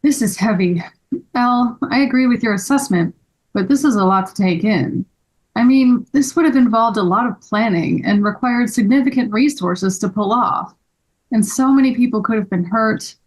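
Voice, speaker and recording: A woman plays a female amateur sleuth in a scripted read. Her voice is a little high, and she comes across as sensible and courteous. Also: normal speed, a little mellow, natural voice, rough recording. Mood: troubled. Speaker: actor in a table read.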